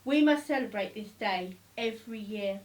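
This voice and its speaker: A woman's voice: mid-range voice, normal speed, close to an everyday voice. A woman voicing astonished, good-humoured elephants in a children's storybook, with fond, teasing, emphatic exclamations. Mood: celebratory.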